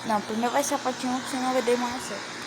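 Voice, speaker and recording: A woman's voice: fairly high pitch, fairly even intonation, rapid-fire, very noisy. A woman with a Brazilian accent reading lines aloud and reacting casually.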